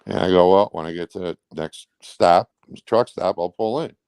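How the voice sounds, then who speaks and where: A man's voice: low-pitched, normal speed, a little mellow, smooth, no echo. A man talking casually as an interview guest, recounting personal events in a rambling, blunt, colloquial way. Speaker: truck driver.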